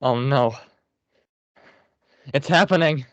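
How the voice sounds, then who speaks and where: A man's voice: medium pitch, slow, a bit bright, clean tone, no room sound, lo-fi sound. A man voicing a weary male paper-ball general who speaks gloomily and sarcastically. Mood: gloomy.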